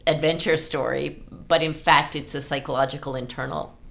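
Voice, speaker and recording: A woman hosting a podcast, talking about literature in a thoughtful, halting way. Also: deep voice, steady tone, gravelly, a bit bright. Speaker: podcast host. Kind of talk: literary discussion.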